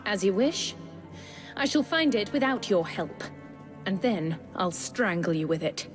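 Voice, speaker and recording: An actress playing a cold, menacing female Templar villain in a Victorian video game, speaking in a measured, taunting, commanding way. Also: mid-range voice, expressive, music in the background, lightly acted. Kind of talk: dramatic video game cutscene acting.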